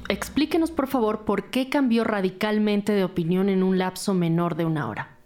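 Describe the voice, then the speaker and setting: A woman's voice: medium pitch, moderate pace, a little mellow, a little hiss or hum, natural voice. Actress as firm, formal female interrogator. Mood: firm questioning. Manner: formal, pressing. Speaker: actor in a crime audio drama. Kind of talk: scripted interrogation dialogue.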